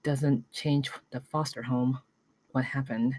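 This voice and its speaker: A woman's voice: extremely low pitch, steady tone, takes it slowly, darkish tone, full character voice, poor audio. A woman reading the part of a guarded, unsentimental young tinkerer in a screenplay table read, terse and matter-of-fact. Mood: guarded, reflective.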